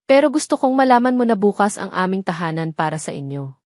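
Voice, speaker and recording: Woman voicing a gentle, dignified older matriarch. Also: medium pitch, big pitch swings, fast talker, dry recording, studio quality, natural voice. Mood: gently insistent. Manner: soft-spoken, affectionate, earnest.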